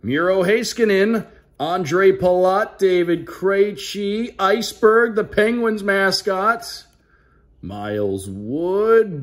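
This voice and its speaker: A man doing casual unboxing commentary with an upbeat, announcer-like delivery, calling out names like a sports broadcaster. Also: sits high. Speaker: hockey play-by-play broadcaster hosting a card unboxing.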